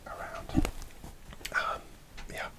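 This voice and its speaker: A man whispering close to the mic, telling a rambling story for an ASMR video with many pauses and fillers. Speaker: ASMR creator.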